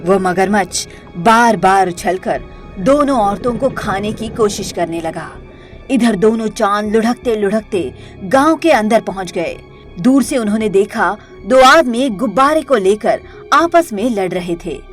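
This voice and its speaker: A woman reading scripted narration for an animated moral story as its storyteller. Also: mid-range voice, measured pace, music in the background.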